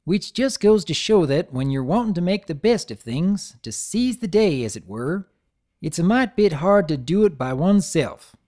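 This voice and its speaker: A man's voice: sits high, big pitch swings, normal speed, dark tone, pitched above the performer's own voice. A man voicing an honest, hardworking country pony mare with a Southern drawl and folksy, earnest manner.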